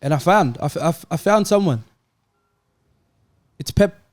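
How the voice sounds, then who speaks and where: A man's voice: a little high, animated, moderate pace, a little mellow, no echo. A man co-hosting a football podcast with a plaintive, melodramatic delivery, doing a mock-sad comic monologue to his friends. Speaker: podcast co-host.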